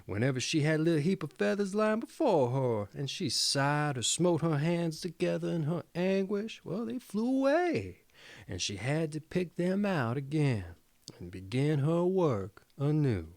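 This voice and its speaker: A man with a fairly high voice reads fairy tales theatrically. His delivery is playful and dramatic, swinging widely in pitch, in a close, dry recording. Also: very animated, unhurried, a little mellow, no echo. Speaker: storyteller, host. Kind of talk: theatrical fairy tale reading.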